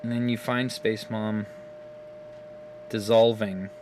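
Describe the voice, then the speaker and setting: A man's voice: on the low side, flat delivery, takes it slowly, darkish tone, slight background noise. A man recording himself on his phone camera at home, giving a casual, rambling and profane recap of a game's story, laughing often. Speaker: gaming video creator.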